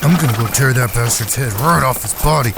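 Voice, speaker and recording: A man's voice: mid-range voice, fast, gravelly, noisy background, natural voice. Man as a blunt, rustic cowboy. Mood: vengeful. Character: hot-tempered, loyal Old West cowboy out for revenge. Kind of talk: audio drama acting.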